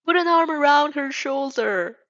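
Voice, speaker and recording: A woman voicing a loud, excitable adult male hero from a fan fiction story, exclamatory and theatrical. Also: sits high, normal speed, no echo, dull audio, voice pushed up. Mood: insistent.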